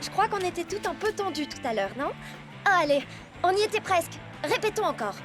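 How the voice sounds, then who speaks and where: A woman's voice: very high voice, very animated, brisk. A woman voicing a vain, self-centred young pop-singer girl in a French cartoon, showy and melodramatic. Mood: self-assured. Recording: loud music underneath.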